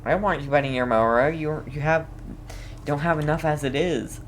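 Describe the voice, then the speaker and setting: A man's voice: on the high side, moderate pace, a little hiss or hum. A man giving casual let's play commentary with dry, offhand reactions. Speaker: gamer playing through a video game.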